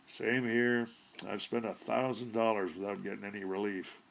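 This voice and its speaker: Man voicing a grumbling middle-aged storybook gentleman. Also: on the low side, steady tone, normal speed, creaky. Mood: commiserating. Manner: grumbling, curt. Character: irritable, solid middle-aged bearded citizen with rheumatism. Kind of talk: character voice in short story reading.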